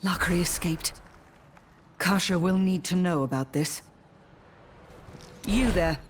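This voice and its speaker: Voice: medium pitch, slow pace, a little hiss or hum, natural voice. A performer playing a stoic, self-reliant necromancer adventurer in a fantasy game, terse, formal and reserved. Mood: businesslike.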